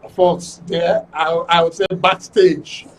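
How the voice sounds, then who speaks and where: A Nigerian man hosting a live studio panel show, introducing things haltingly and repeating phrases. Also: a little high, level tone. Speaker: radio and TV programme host. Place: radio and TV studio. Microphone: studio microphone. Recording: faint noise, distant studio mic.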